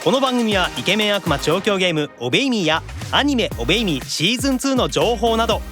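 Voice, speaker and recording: A man's voice: sits high, big pitch swings, quick pace, over music. A man hosting a radio show, playful and polite, moving between scripted announcements and banter. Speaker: radio show host, voice actor.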